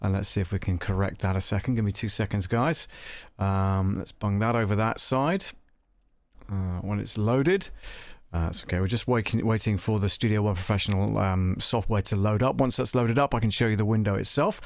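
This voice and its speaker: Creaky-voiced man hosting a tutorial stream. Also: on the low side, moderate pace, dry recording, clean studio sound. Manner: chatty, informal, thinking aloud. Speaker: live-stream host and audio production tutor. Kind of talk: casual live tutorial chat.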